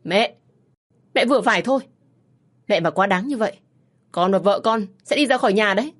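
A woman's voice: medium pitch, normal speed, a little mellow, husky, natural voice. A woman voicing a devoted young husband who comforts his wife and pleads with his mother. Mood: pleading. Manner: pleading, tender.

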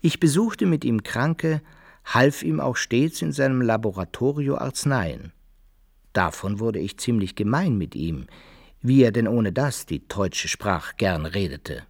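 A man's voice: mid-range voice, expressive, a little slow, vocal fry, no echo, studio quality. An actor playing an aging adventurer who recounts his life in old-fashioned literary phrasing for a radio drama. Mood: wry recounting. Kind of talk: literary first-person narration in radio drama.

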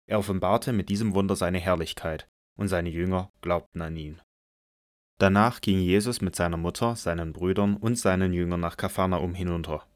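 Low-pitched, solemn male narrator. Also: fairly even intonation, normal speed, dry recording, clean studio sound. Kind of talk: scripted scripture narration for audio drama.

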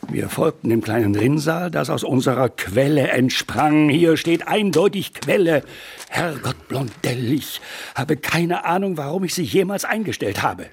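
An actor playing an irascible, scornful newspaper editor in a German radio drama, gruff, exasperated and bossy. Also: male voice, a little high, expressive, measured pace, slight background noise. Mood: irritated.

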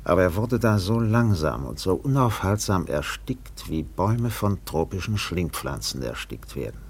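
An actor playing a seasoned sea captain who narrates a radio play in a measured, deliberate way with swelling emphasis. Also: male voice, deep voice, sing-song, slightly rough, full character voice. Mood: brooding, wistful. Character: weathered sea captain recalling a doomed trader in Borneo.

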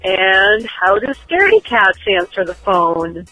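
Woman leaving a voicemail with dumb jokes, deadpan, faint music behind. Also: medium pitch, slow, poor audio. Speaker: caller telling jokes. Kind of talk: voicemail joke telling.

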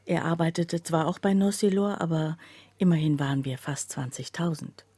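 An actress playing a troubled woman who recounts her own breakdown in a radio play, with a husky, breathy voice. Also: low voice, moderate pace, warm tone, dry recording. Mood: confessional. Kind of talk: scripted first-person radio play narration.

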